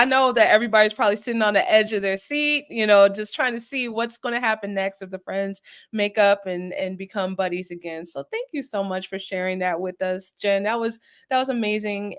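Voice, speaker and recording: A woman's voice: mid-range voice, a bit bright. A woman hosting a live online event in an effusive, casual, chatty way. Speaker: host of an online celebration event.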